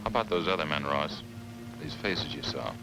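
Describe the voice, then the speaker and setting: An actor in an old radio police drama playing a businesslike detective who asks questions plainly and without emotion. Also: male voice, extremely low pitch, animated, moderate pace, creaky, heavily acted, poor audio. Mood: probing, even. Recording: old radio recording with music behind.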